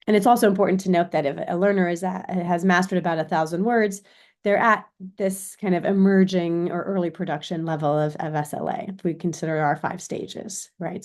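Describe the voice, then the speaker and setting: Female American lecturer, lowish pitch, informal explanatory delivery with frequent check-ins. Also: darkish tone. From Chicago, United States. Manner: explanatory, often checks with "right?". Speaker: university lecturer in education.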